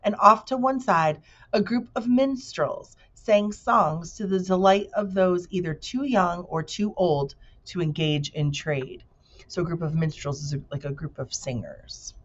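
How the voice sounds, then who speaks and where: Woman teacher doing an expressive read-aloud of children's fiction, lowish register, lo-fi recording. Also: a little slow. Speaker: teacher reading aloud to pupils. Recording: dull lo-fi recording.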